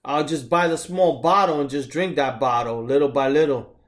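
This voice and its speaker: A man's voice: mid-range voice, moderate pace. A man in his thirties co-hosting a podcast, telling laid-back stories in casual chat and swearing now and then. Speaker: podcast co-host.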